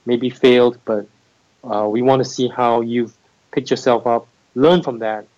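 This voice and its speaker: A man's voice: medium pitch, flat delivery, a little slow, a little mellow, dry recording. Thoughtful Singapore-raised man, muffled sound. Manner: thoughtful, many restarts and fillers. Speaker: MBA admissions head, guest. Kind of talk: interview conversation.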